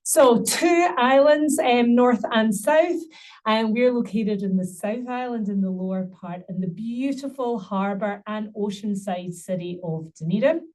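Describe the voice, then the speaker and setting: A woman's voice: on the high side, unhurried, a little mellow, far from the mic. A middle-aged woman giving an upbeat university webinar presentation, promoting her city with frequent ums.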